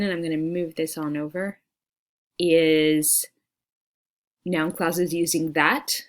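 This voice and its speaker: A woman teacher talking through class material in a friendly, conversational way, as in an informal screencast walkthrough. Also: low voice. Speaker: English teacher. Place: screen-recorded voice-over for an online class.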